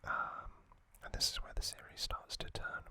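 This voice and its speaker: A man whispering an ASMR trivia recap as host, hushed and unhurried, with hesitant pauses between short phrases.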